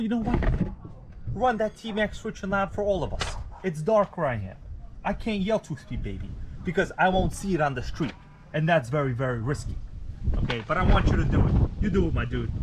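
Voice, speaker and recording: A man from Russia, a native Russian speaker, hosting a casual live stream outdoors at night, rambling in a relaxed, slangy way with many fillers. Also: on the high side, a little fast, noisy background. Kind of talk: casual live stream chat, reading viewer comments.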